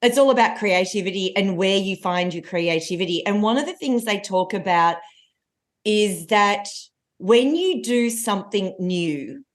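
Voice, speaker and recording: A woman in her forties with a loud voice, a coach telling stories as a guest in a casual podcast conversation. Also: mid-range voice, measured pace. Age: 40s. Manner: energetic, animated storytelling with laughs.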